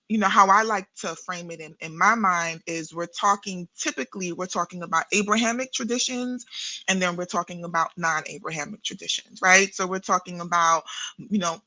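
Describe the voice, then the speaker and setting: Woman, New York accent, middle-aged, bright tone, dry sound, thoughtful, explanatory. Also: lowish voice, no room sound, low-quality recording. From Guyana, raised in New York City. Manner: thoughtful, explanatory, frequent "you know" and "right?". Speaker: podcast guest, social worker and spiritual practitioner. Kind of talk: casual interview talk.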